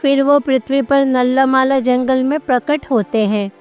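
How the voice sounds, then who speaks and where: Woman, high-pitched, smooth clear voice, scripted story narration, faint music behind. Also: steady tone, normal speed, a little mellow.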